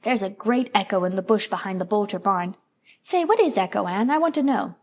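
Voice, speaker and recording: A woman voicing an excitable young farm boy who is eager and boastful. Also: mid-range voice, brisk. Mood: curious.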